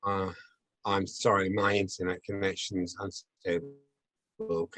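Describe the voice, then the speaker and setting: A man's voice: low-pitched, level tone, slow pace, fairly bright tone, no echo. A man, an actor at a table read, talking casually and a little hesitantly.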